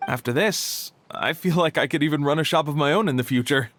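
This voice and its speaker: A man's voice: fairly high pitch, brisk, fairly bright tone, a little hiss or hum, natural voice. A man playing an earnest, grateful event organizer in a video game, polite and effusive.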